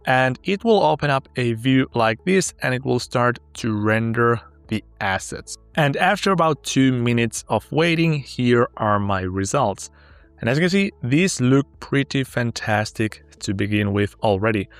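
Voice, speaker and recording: A man whose first language is Finnish, upbeat and walking step by step through a sponsored software tutorial. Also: mid-range voice, expressive, measured pace, dry recording, faint music behind. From Finland. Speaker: tech tutorial host.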